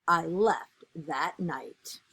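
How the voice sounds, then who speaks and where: A woman voicing an earnest, dutiful egg from a children's picture book, with expressive and deliberate storytelling. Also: sits low, vocal fry, slight background noise, voice pushed down, exaggerated voice, rough recording. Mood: reflective.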